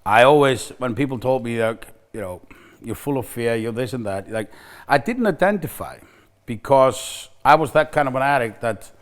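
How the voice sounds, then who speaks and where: A man's voice: mid-range voice, lively intonation, moderate pace. Icelandic man speaking candidly. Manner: candid, reflective, with frequent restarts and fillers. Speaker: recovery center staff member. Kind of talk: personal talk to camera.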